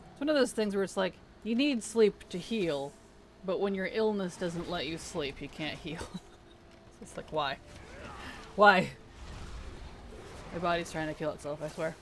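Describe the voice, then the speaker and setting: A streamer talking over gameplay at a middling pitch, voice frayed and weak after a sleepless night. Soft-spoken and easygoing, reading chat aloud and muttering to self between lines, with a faint hiss behind. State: weak, strained voice. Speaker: game streamer. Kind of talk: casual live-stream chat while playing.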